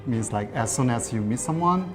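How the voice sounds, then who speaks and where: A woman's voice: rumbling low, lively intonation, brisk, music in the background. A woman who teaches Chinese, explaining words patiently in casual chat with many fillers.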